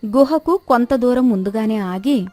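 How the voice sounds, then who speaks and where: A woman's voice: on the high side, measured pace, warm tone, dry recording. A woman reading a scripted moral story as a storyteller. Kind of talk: scripted story narration with acted dialogue.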